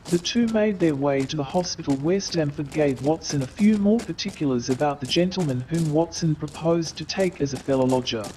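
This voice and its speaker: A man's voice: on the high side, a little fast, few pauses, close to an everyday voice. A man playing the narrator of a Victorian detective tale in a staged radio play, with formal, descriptive storytelling. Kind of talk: scripted narration. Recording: very noisy, loud crowd, clicks.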